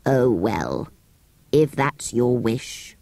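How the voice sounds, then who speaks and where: A woman voicing a grumpy, haughty ancient furry sand fairy in a dry, husky, slow and pompous way for a storybook. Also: rumbling low, big pitch swings, darkish tone, no echo, voice pushed down, heavily acted. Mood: indignant.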